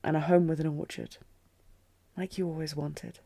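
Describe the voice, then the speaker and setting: Woman acting a regal, tormented queen, low-lit mellow tone. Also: flat delivery, normal speed, gravelly, no echo. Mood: strained, forceful. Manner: regal, restrained, intense. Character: commanding, tormented queen in love with her general. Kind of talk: fantasy audio roleplay, dramatic acting.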